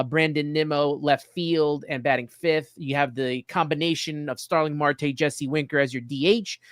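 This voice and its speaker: A man's voice: fairly high pitch, a bit bright, no room sound, clean studio sound. A man hosting a sports show, presenting casually and informally in short hesitant segments. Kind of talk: casual presenting, reading through a list.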